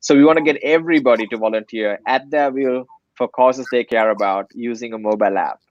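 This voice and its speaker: A man's voice: medium pitch, a little fast, dry recording. Young guy from sri lanka explaining his nonprofit app, earnest, lots of uh and you know, dull dry sound. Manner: earnest, explanatory, frequent fillers. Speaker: nonprofit executive director. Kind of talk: interview answers.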